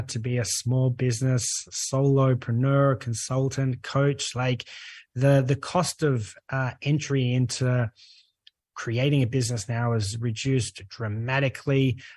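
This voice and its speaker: A man with an Australian accent, a guest on a talk show, speaking earnestly and relaxed, with many "uh" fillers. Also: mid-range voice, unhurried, no room sound, clean studio sound. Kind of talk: podcast interview.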